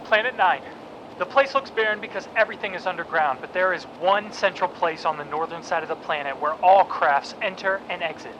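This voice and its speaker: A man's voice: sits high, brisk. An actor playing a desperate captive spy pleading urgently with his wife over a radio link in an audio drama. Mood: confessing, regretful. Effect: radio transmission. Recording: dull radio transmission, noisy ambience.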